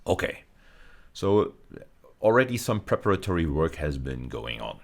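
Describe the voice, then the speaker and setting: Male university lecturer, very low bass voice, halting explanatory delivery for technical teaching. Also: big pitch swings.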